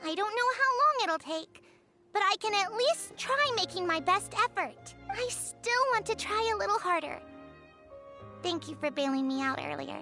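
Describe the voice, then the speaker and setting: A woman's voice: very high voice, normal speed. A woman voicing a small, sweet, stubbornly hopeful girl creature in a video game, childlike and earnest. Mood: wistful, determined. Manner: earnest, expressive. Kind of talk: video game character acting. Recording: music behind the voice. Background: game music.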